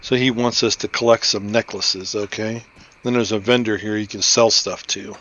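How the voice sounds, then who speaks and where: A man's voice: fairly low pitch, fairly even intonation, moderate pace, fairly bright tone, dull audio, slight background noise. Casual male gamer commentating. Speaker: gaming video host. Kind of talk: casual game playthrough commentary.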